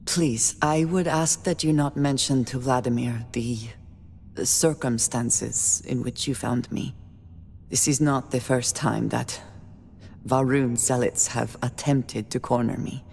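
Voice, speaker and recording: Guarded woman explorer, very low voice, formal measured phrasing, steely calm, long reverb, game cutscene. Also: vocal fry, slight background noise, exaggerated voice. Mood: guarded, stern. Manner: formal, measured speech without contractions. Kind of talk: video game cutscene acting.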